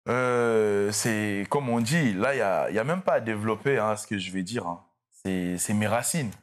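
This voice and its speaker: A man's voice: on the low side, expressive, moderate pace. A young man from DR Congo with a Congolese French accent, a content creator chatting easily as an interview guest while he searches for his words. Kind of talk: casual interview chat.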